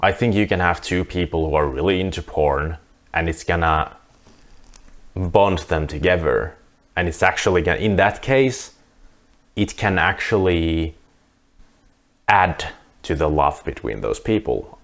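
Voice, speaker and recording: A man with a very low voice and a Finnish accent, speaking English as his second language. He talks to camera in an earnest, reflective way, restarting sentences as he works through his thoughts. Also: measured pace. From Finland. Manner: earnest, thinking aloud with restarts. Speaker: vlogger. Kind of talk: casual monologue to camera.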